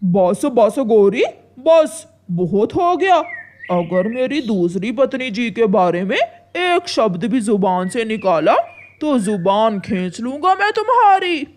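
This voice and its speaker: A woman plays a scheming male cartoon crow, a smooth-talking husband who likes to boss. The voice sits fairly high and swings widely, with drawn-out, wheedling emphasis. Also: sing-song, darkish tone, slight background noise. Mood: angry, threatening. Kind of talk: Hindi cartoon story acting.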